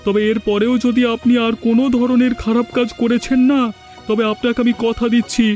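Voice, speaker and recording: A man's voice: very high-pitched, level tone, a little fast, a little mellow. A man voicing a mocking, self-righteous village man in a cartoon. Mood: stern, warning. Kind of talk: Bengali cartoon dialogue. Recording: music behind the voice.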